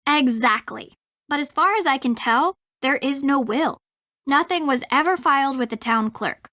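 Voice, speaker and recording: Young female investigator, bright crisp tone, slightly high pitch, matter-of-fact, explanatory game dialogue, dry sound. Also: lively intonation, normal speed, no echo. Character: brisk, theorizing young woman investigator.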